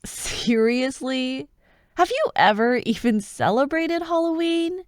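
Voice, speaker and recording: A woman playing a bubbly, bossy young childhood friend who loves Halloween, in an animated, teasing ASMR roleplay. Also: high voice, slow, no room sound, studio quality. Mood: teasing, hyped. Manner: animated, expressive, teasing, with laughs and sighs. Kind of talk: ASMR roleplay, one-sided acted conversation.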